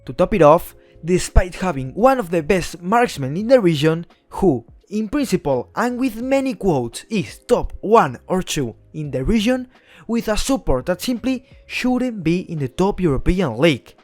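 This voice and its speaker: A man's voice: high-pitched, sing-song, normal speed, dry recording, soft background music. A young man from Spain with a Spanish accent, giving blunt, opinionated scripted commentary on esports teams as a YouTuber. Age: young adult.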